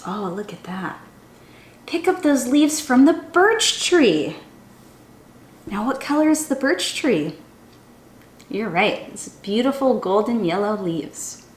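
A young woman reads to small children in a high voice that swoops widely up and down. She is expressive and sing-song, talking to them directly as a storytime teacher. The room adds a noticeable echo around her voice. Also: takes it slowly, pauses often, a little hiss or hum. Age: young adult. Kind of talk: expressive picture-book read-aloud for young children. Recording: roomy indoor echo.

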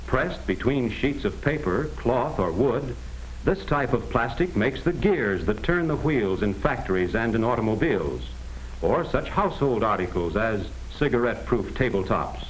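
An American man reading scripted 1940s educational film narration in a measured, explanatory manner on a vintage soundtrack. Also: mid-range voice, very animated, slight background noise, low-quality recording. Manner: measured, explanatory, addressing the listener directly.

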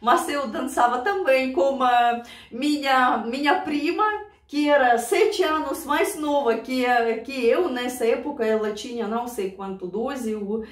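A woman's voice: sits high, unhurried, far from the mic. A woman from Siberia with a Russian accent, chatting to her viewers in an emotional, laughing way about old memories. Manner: emotional, laughs often, addresses viewers fondly. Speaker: vlogger reacting to songs. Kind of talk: casual reminiscing to viewers. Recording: roomy, voice away from mic.

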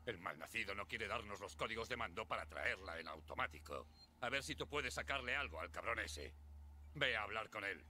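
An actor playing a gruff, brusque male station marshal in a dubbed video game. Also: fairly low pitch, animated, fairly quick, sharp tone, slight background noise. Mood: curt. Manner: curt, brusque.

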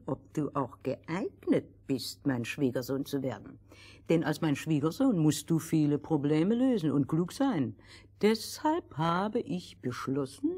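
A woman voicing a haughty, scheming king in a fairy tale, speaking in a pompous, measured, commanding way. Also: low voice, a little mellow, slightly rough, pitched below the performer's own voice, full character voice. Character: haughty, scheming old king, father of a princess.

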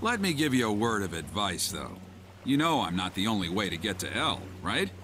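A man's voice: on the low side, sing-song, normal speed, noisy background. A man voicing a laid-back, wry sea captain who gives advice in a video game. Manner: casual, wry and talkative. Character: laid-back middle-aged male ship captain. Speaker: ship captain.

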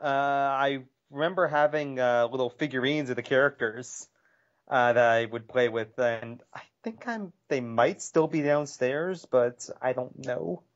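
Relaxed young podcast co-host. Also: male voice, medium pitch, measured pace, highs cut off. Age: 20s. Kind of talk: casual podcast chat.

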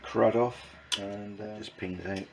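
A man giving informal hands-on workshop commentary in a halting, matter-of-fact way with clipped sentences. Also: fairly low pitch, steady tone, vocal fry. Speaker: presenter of a motorbike build vlog. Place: small wooden shed workshop. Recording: noisy workshop recording with background ambience.

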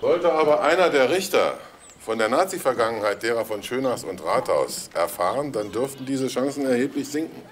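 A man acts a dogged detective in a crime drama. His pitch is middling and swings widely as he presses his questions. There is faint noise behind him. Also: expressive, fairly quick, natural voice. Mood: matter-of-fact. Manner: pointed, insistent questioning. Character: dogged, probing male police detective. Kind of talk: German crime drama acting.

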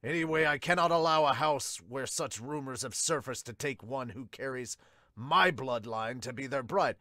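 A man's voice: mid-range voice, normal speed, sharp tone, dry recording, studio quality, natural voice. A man voicing a haughty, irritable king in a manga dub, pompous and demanding. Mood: stern.

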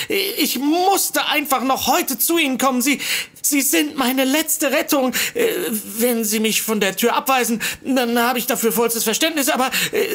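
An actress playing a fussy, apologetic secretary in a comedic audio drama, stammering and rambling nervously. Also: female voice, high voice, a bit bright. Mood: flustered, pleading. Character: flustered, apologetic, fussy secretary seeking help.

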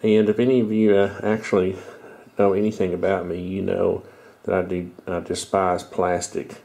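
Congested middle-aged man, mellow low voice. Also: little pitch movement, moderate pace, vocal fry. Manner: rambling, self-correcting. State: stuffy, congested. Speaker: video host. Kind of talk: casual show-and-tell talk. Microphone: headset.

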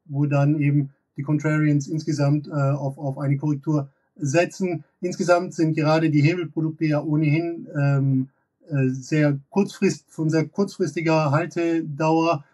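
A man's voice: fairly high pitch, steady tone, measured pace, mellow, slightly rough, distant mic. German-speaking man analyzing markets, a little off-mic. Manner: analytical, many fillers and restarts. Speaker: derivatives expert guest. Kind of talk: financial market commentary. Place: office with glass wall.

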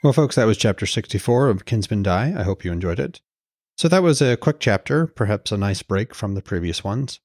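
Low-voiced male podcast narrator. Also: expressive, fairly quick, a little mellow, no room sound. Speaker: podcast host and author-narrator. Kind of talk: scripted narration.

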